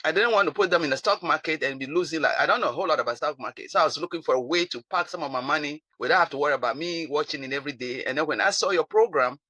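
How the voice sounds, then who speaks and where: A woman in her seventies with a Ghanaian accent, answering interview questions over a video call, animated and quick to repeat and restart her words. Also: low-pitched, dry recording. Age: 70s. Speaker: client giving a testimonial.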